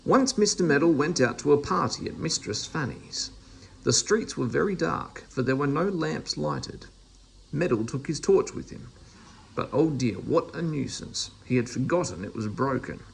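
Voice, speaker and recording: A man's voice: mid-range voice, expressive, moderate pace, vocal fry, breathy edge, low-quality recording. A man reading a children's storybook aloud as a narrator. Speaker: storybook reader.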